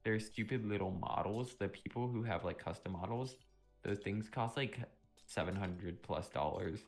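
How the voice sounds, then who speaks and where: A young man on a live stream, chatting casually and rambling with lots of fillers, a little sick and often clearing his throat. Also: lowish voice, normal speed. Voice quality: vocal fry. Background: music playing.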